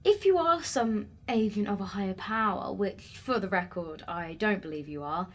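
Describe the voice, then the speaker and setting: A woman's voice: mid-range voice, lively intonation, moderate pace, close to an everyday voice. An actress playing a feisty, stubborn young woman in an audio drama, challenging and sarcastic. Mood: sardonic, probing.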